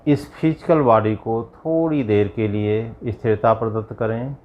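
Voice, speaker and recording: A man guiding a yoga nidra meditation as a yogi, giving measured instructions in short, spaced phrases. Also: on the low side, steady tone, lo-fi sound. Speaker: yogi leading guided meditation.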